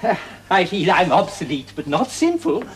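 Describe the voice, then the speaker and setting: An actor playing a doddering, fretful old English clergyman who rambles and moralises haltingly in a 1970s television drama. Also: high-pitched, very animated. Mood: doddering, wistful. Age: older. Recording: noisy 1970s television soundtrack.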